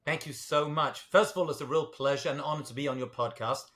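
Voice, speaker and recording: Middle-aged Londoner with a British accent, a bit higher voice, casual guest talk. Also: male voice, fast talker, fairly bright tone. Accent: British English, London. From England. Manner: self-deprecating, jokey, with fillers. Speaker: rabbi, guest.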